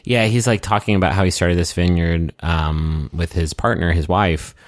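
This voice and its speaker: A man co-hosting a podcast, joking and swearing, his voice gravelly after a busy stretch of performing. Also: fairly even intonation, moderate pace, no room sound, clean studio sound. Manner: sweary, jokey, breaks into falsetto singing. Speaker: podcast co-host. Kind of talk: casual recap chat.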